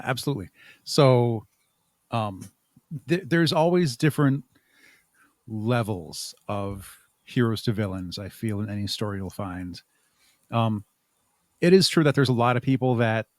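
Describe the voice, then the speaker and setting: A man's voice: mid-range voice, no room sound. Man chatting casually, close dry sound. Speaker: podcast guest and audio drama creator. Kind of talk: casual interview talk.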